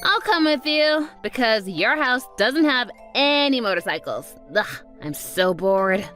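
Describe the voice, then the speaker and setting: Woman voicing a high-pitched, brash teenage rebel girl. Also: lively intonation, a little slow, sharp tone. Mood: mocking bravado. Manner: mocking bravado slipping into bashful stammering. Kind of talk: anime-style romcom character acting. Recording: music under the voice. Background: background music.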